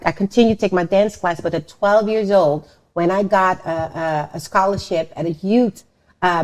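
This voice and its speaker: A middle-aged woman from Suriname and the Netherlands telling their story casually, often pausing and repeating words. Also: on the low side, darkish tone, low-quality recording. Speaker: show host and dancer telling their story.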